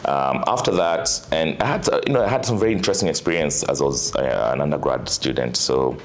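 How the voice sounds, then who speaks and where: A man in his thirties, an investor chatting casually as an interview guest, relaxed and full of fillers. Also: a bass voice, brisk, a little hiss or hum. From Kenya. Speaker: venture capital investor, guest.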